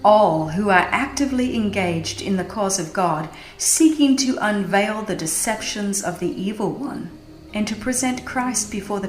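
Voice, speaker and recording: A woman reads a scripted religious audiobook in a solemn, earnest and measured delivery. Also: medium pitch. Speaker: audiobook narrator. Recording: roomy echo with music behind. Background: music.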